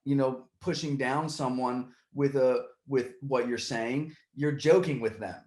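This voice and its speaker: A man's voice: mid-range voice, fairly even intonation, a little slow, a little mellow, reverberant. A man hosting a podcast, talking casually in long rambling stretches with many restarts, and getting worked up when he retells a story. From Puerto Rican family side. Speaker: podcast host.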